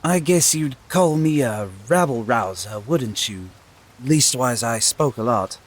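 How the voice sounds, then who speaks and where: A man's voice: medium pitch, lively intonation, measured pace. Guy playing a wry rebel preacher type, mid voice, audio drama. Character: rabble-rousing rebel priest, wry talker. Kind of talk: fantasy audio drama acting.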